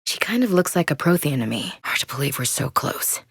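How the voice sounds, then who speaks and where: A woman's voice: a bass voice, expressive, fairly quick, creaky, slightly rough, dry recording, studio quality, full character voice. A woman playing a tough, candid young space marine with an American accent in a video game, speaking in a blunt, plain-spoken military way. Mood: candid, conversational. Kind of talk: video game character dialogue.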